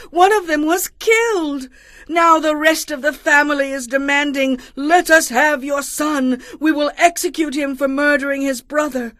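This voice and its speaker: An actress playing a pleading, mournful widow at an ancient royal court in a dramatized audio Bible. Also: female voice, sits high, a bit bright, dry recording, clean studio sound.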